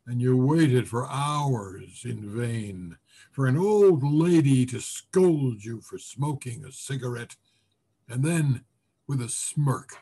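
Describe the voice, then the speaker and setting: A man with a husky voice reading a literary story expressively, savoring the words with deliberate pauses. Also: mid-range voice, lively intonation, dark tone. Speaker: voice actor, story reader. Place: remote video call presentation.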